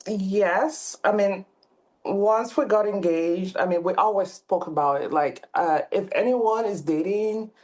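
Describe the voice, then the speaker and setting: A woman's voice: medium pitch, a little slow, a little mellow. A woman from Cameroon in her thirties, a podcast guest talking casually with many fillers and restarts. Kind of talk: casual interview talk.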